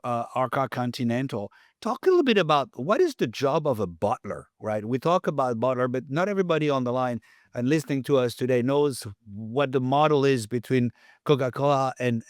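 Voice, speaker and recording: A man's voice: mid-range voice, animated, a little fast, dry recording. Male podcast host; chatty, stumbling, dry close-miked recording. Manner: chatty, stumbling restarts, tells anecdotes. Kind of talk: casual interview hosting.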